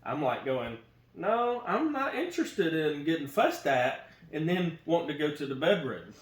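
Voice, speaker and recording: A man's voice: fairly high pitch, very animated, moderate pace, roomy sound, low-quality recording. A man talking casually with his wife about faith and marriage, earnest and preachy, often repeating himself. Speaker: co-host, husband.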